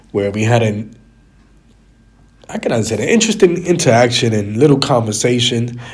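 A man with a raspy, stuffy voice from a cold, talking casually and in a rambling way as a solo podcast host, repeating phrases for emphasis. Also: medium pitch, a little mellow. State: stuffy, slightly hoarse from a cold.

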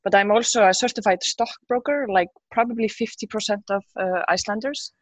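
A woman's voice: mid-range voice, fairly quick, dry recording. A woman who grew up in Denmark talks as an interview guest. She has a mid-range voice and a casual conversational style, recorded dry and without room sound. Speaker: podcast guest. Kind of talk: casual chat.

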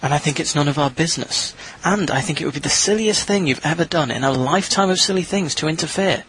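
A woman's voice: low voice, fast, fairly bright tone, a little hiss or hum, poor audio. A woman playing a small, cautious animal-spirit companion in an audio drama, prim, scolding and sarcastic. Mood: sarcastic nagging.

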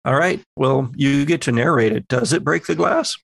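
A man narrating an improvised horror tabletop game as game master, deliberate and descriptive with halting pauses. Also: mid-range voice, gravelly, crowd noise.

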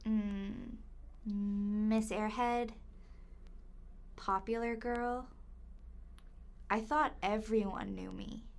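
A young woman playing a blithe, creepy high school kidnapper in a student audio drama, casual and taunting. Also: fairly even intonation, drawn-out words, gravelly, long pauses. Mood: smug, slighted. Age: young adult.

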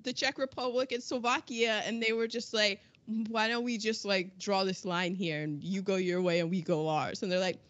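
A woman's voice: medium pitch, fairly even intonation, moderate pace, few pauses, a bit bright, no echo. A chatty woman in her forties co-hosts a podcast, reading through past events with quips and playful acted quotes. Her voice sits dry and close. The recording is slightly dull. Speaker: podcast co-host. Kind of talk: casual chat reading out history notes.

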